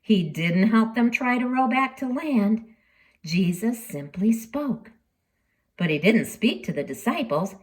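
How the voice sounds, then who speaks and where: A woman storyteller reading expressively to children, dramatic in delivery, with deliberate pauses and emphasis. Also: on the high side, animated.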